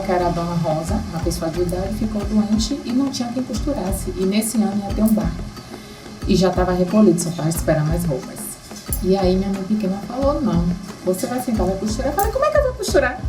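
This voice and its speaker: A woman in her late thirties from Salvador, a candomblé seamstress, telling her story with warmth and animation in a documentary interview. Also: medium pitch. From Salvador, Brazil. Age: 30s. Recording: roomy sound with music underneath.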